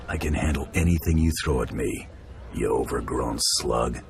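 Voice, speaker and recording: A man's voice: extremely low pitch, normal speed, vocal fry, background noise. An actor playing a tough male bounty hunter who talks in a blunt, defiant way.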